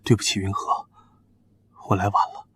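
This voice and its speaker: An actor playing a calm, tender husband who is secretly a god, speaking softly and reassuringly. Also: male voice, normal speed, whispered, natural voice. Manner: soft, low, reassuring.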